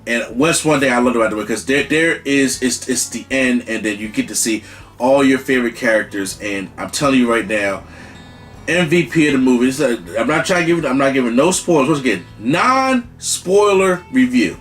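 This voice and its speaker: A man hosting his own channel, giving an excited spoken movie review with lots of restarts and emphatic repetition. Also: medium pitch, brisk, faint music behind.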